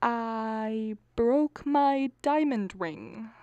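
A woman as melodramatic middle-aged mother of the bride, high voice, slow wailing delivery, anxious, radio play, dry sound. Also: mellow, clear, resonant tone, no room sound, highs cut off. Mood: sheepish. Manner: dramatic, wailing, pleading.

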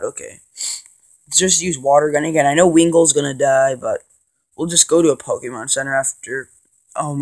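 A man with a cold and a congested voice, rambling casually with lots of ums and excited bursts as he plays and narrates a handheld game. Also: fairly high pitch. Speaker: gaming channel host. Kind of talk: casual let's play commentary.

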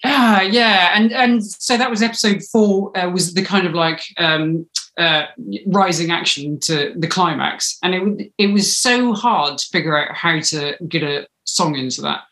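A woman's voice: fairly low pitch, a little slow, fairly bright tone, reverberant. A woman in her thirties chatting in an interview, thinking aloud with some hesitation, on a video call from a room at home. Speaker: audio drama writer, interviewee. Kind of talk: casual interview conversation. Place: small home room, indoors. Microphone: video call, mic at a distance.

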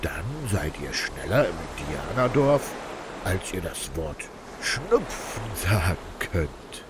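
A man voicing a helpful, mighty wind with a gravelly voice in a children's audio play. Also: low-pitched, very animated, takes it slowly, heavily acted. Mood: eager, helpful.

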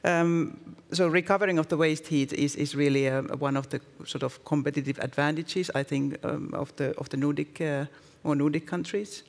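A man answering on a panel in a halting way, repeating words often. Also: fairly high pitch, fairly even intonation, vocal fry. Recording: reverberant stage room.

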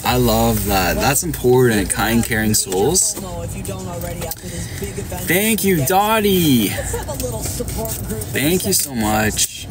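A man's voice: mid-range voice, expressive, extremely slow, pauses often. A young man hosting a casual livestream from a parked car, talking to viewers on a phone held at arm's length and thanking them by name. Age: young adult. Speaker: livestream host. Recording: music playing loudly behind. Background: song playing in the car.

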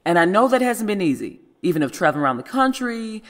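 A woman's voice: mid-range voice, very animated, quick pace, lightly acted. An actress playing an elderly, devout mother, tender and worried, speaking solemnly and coaxingly in an audio drama. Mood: admiring, tender.

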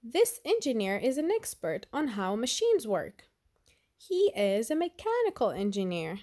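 A woman reading a children's picture book aloud in an expressive, deliberate storytelling style. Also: fairly high pitch.

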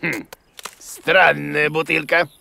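Creaky, grumpy bearded cartoon creature. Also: male voice, a little high, flat delivery, slow, pushed and bright, a little hiss or hum, full character voice. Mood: confident, blustering. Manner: blustery, exclamatory, mock-scolding. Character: grumpy, muddle-headed bearded creature, a bumbling self-sure teacher. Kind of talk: children's cartoon acting.

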